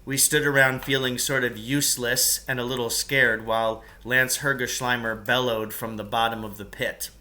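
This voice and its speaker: Bright-voiced man reading a storybook. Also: mid-range voice, steady tone, moderate pace, few pauses. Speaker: teacher reading a novel aloud. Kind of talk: expressive storybook narration.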